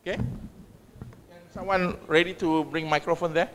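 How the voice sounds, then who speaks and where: A middle-aged man speaks from the stage of a large university hall, his voice pitched high for a man and trailing off into a long reverb. He talks in a measured way, with clipped phrases and frequent pauses, as he presents and then opens the floor. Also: steady tone, fairly bright tone, a little hiss or hum. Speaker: university vice chancellor giving a conference talk. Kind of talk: conference speech and Q&A moderation.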